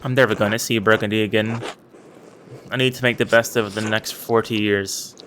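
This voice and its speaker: A man voicing a young scribe monk who is devoted to his abbey and far from home, speaking in a flat, indifferent way. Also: fairly low pitch, normal speed, voice pushed down, close to an everyday voice. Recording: clear background noise.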